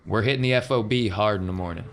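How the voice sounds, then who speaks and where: A man plays a gruff sci-fi hangar boss in a tabletop game, speaking in a low voice. He is blunt and protective, with clipped, folksy phrasing. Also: fast talker, natural voice. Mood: blunt, wry. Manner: terse, blunt, drawling folksy grammar. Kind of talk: improvised tabletop roleplay.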